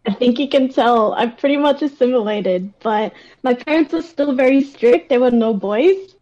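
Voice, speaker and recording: A woman's voice: on the high side, normal speed, dull audio. A woman with an Australian accent chatting casually and telling personal stories in an informal, talkative way, as a guest in an interview. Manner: chatty, animated retelling with acted-out quotes and frequent "like".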